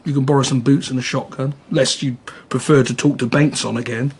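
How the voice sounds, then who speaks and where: Husky, affable country policeman. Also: medium pitch, fairly even intonation, a little fast. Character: affable, folksy small-town policeman who knows everybody.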